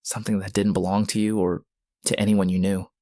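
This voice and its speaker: A man narrating a scripted suspense story in a brooding, deliberate manner, with short dramatic phrases. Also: lowish voice, level tone, dark tone, no echo, studio quality.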